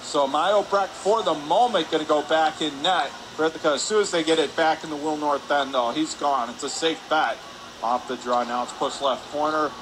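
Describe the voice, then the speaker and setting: A man doing excitable live radio play-by-play of a hockey game, shouting on big plays. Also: fairly high pitch, normal speed. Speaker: play-by-play announcer. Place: indoor ice hockey arena. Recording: noisy arena broadcast with rink ambience. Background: loud ambient arena noise.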